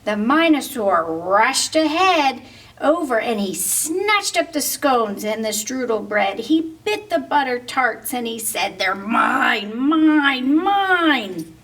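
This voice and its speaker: A woman reading a picture book aloud to children, animated and pausing to ask her young listeners questions. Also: on the high side, recorded from a distance. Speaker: story reader.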